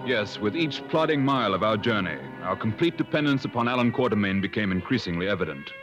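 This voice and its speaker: An actor playing a genteel safari companion who narrates a 1950s radio drama in a polite, literary, measured way. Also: male voice, on the low side, animated, natural voice. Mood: reflective narration. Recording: muffled old radio, loud music underneath.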